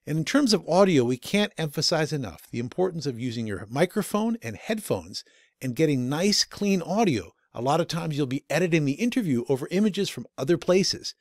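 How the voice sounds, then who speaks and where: A man's voice: a little high, sing-song, a little fast, no room sound, clean studio sound. A man narrating a scripted instructional video as the instructor.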